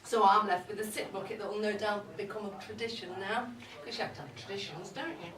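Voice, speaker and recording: A woman's voice: mid-range voice, fairly even intonation, a little fast, lightly acted. Cheeky older woman, acted out by a woman, distant and roomy. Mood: gossipy, cheeky. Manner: wry, bawdy, confiding, chatty. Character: cheeky, boozy older mother-in-law gossiping at Christmas. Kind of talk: comic dramatic monologue read to a live audience. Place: indoor live event at a pub. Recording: roomy, distant live recording with audience noise. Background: audience crowd.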